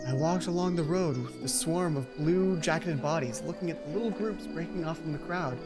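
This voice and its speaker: A man with a fairly high voice plays through a visual novel for an audience. He reads the text aloud, stumbles now and then and drops in casual remarks of his own. Music plays clearly behind his voice. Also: fairly quick. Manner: stumbles over read text, interjects casual asides. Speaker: gaming video host. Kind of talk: let's play commentary mixed with reading visual novel text aloud.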